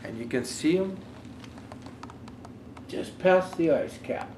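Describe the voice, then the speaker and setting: Santa Claus, played by a man: jolly old storybook reader, fairly high-pitched, chatty, faint noise behind. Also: lively intonation, slow, darkish tone. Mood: amused. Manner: jovial ho-ho greetings, chatty asides. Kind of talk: children's storybook read-aloud. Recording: roomy echo, faint background noise.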